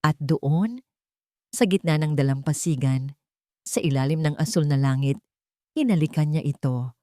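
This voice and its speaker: A woman reads a dramatic love story in a fairly low voice. Her phrasing is deliberate and sentimental, and the sound is dry and close. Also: mellow, no echo. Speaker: story narrator. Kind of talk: scripted dramatic narration of a romance story.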